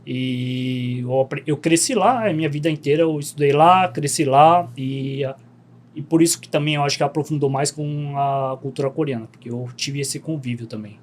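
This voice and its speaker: A young man, a podcast guest telling stories in relaxed, slangy chat. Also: a little high, moderate pace. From Brazil. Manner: laid-back storytelling, slangy, animated.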